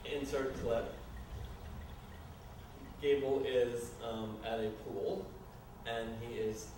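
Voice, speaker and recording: A man informally narrating stage directions at a live reading, explaining the action in a halting way. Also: mid-range voice, fairly even intonation. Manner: halting, explanatory, apologetic asides. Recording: distant, very echoey room, noisy ambience.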